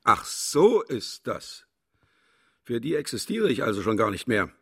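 An actor in a German radio play voicing a grumpy, vain aging official, curt and disdainful. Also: male voice, medium pitch, sing-song, measured pace, sharp tone, breathy edge, exaggerated voice. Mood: indignant. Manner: curt, muttering, disdainful, indignant when challenged. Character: grumpy, vain, aging male official sorting human candidates.

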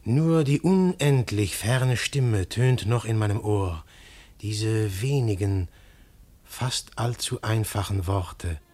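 An actor narrating in first person as a man waking from a dream, slow, hushed and reflective, in an old radio play. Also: lowish voice. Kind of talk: 1950s radio drama narration.

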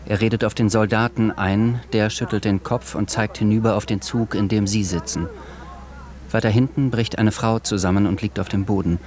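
An actor serving as a sober, observant narrator, reading literary scene descriptions in a radio drama. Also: male voice, lowish voice, fairly even intonation, moderate pace, a little mellow, natural voice.

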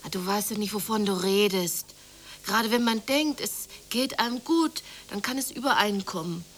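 A woman's voice: medium pitch, moderate pace, close to an everyday voice. An actress playing a despondent, worn-out mother with a brooding, exasperated manner in a radio play. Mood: despairing. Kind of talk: German radio play acting. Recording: noisy 1980s radio play recording.

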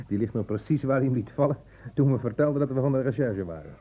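A man's voice: mid-range voice, a little fast, mellow, breathy edge, close to an everyday voice. An actor playing an easygoing, wryly joking male police inspector in a Dutch radio play. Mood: casual, musing. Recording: faintly noisy old radio recording.

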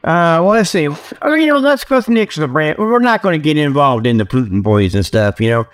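A man hosting a comedy show, giving a rambling, folksy monologue full of mock sales pitches. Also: expressive, a little fast. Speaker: host of a comedy talk show.

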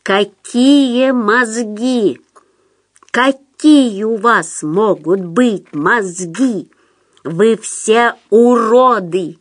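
A woman voicing a brutal, bullying man in an audiobook, hoarse and menacing, barking and hissing orders at underlings. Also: mid-range voice, animated, measured pace, natural voice. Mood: menacing, contemptuous. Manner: menacing, hissing and shouting commands. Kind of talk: audiobook character voice.

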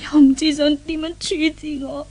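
A woman's voice: high voice, little pitch movement, moderate pace, darkish tone. An actress in a Cantonese radio drama playing a frightened, indignant young woman held captive, anguished and melodramatic. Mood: despairing.

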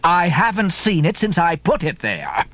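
A man's voice: a little high, huge pitch swings, a little slow, fairly bright tone, no room sound, voice pushed up, heavily acted. Man putting on a bossy scolding landlady. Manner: scolding, fussy. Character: bossy middle-aged innkeeper woman, scolding and fussy.

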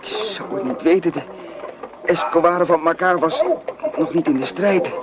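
A man plays a wounded soldier in a radio play. His slightly high voice falters with pain, breaking into groans between halting phrases. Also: natural voice. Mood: pained, weak. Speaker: actor in a radio drama. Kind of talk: Dutch radio play acting.

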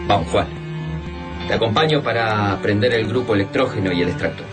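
A man's voice: on the low side, fairly quick, lightly acted. A man acting a practical Argentine scientist, music behind. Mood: brisk. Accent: Argentine Rioplatense. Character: practical, grave scientist friend, loyal man. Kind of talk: radio drama acting. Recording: dramatic music under the voice.

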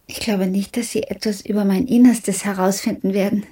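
A woman acts out an estranged, stubborn character in a radio play. Her voice is soft, dark-toned and breathy, in a dry, close studio sound. She makes plain, deliberate statements with an earnest, detached air. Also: medium pitch, steady tone, dry recording, close to an everyday voice. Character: detached, stubborn woman applicant who lost her sense of self. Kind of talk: radio drama acting.

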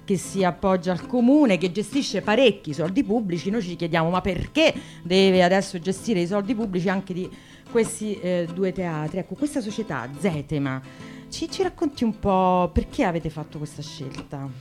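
A woman's voice: lowish voice, expressive, normal speed, darkish tone, lightly acted. A woman playing a polite but exasperated interviewer in a satirical radio sketch, hesitant yet persistent in her corrections. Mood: earnest, probing. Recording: guitar music behind the voice.

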